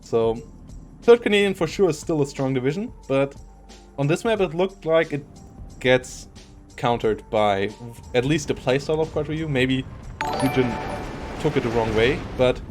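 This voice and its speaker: A man's voice: medium pitch, expressive, normal speed, faint music behind. A man casting a live strategy game match, analytical and chatty, laughing often. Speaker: esports caster.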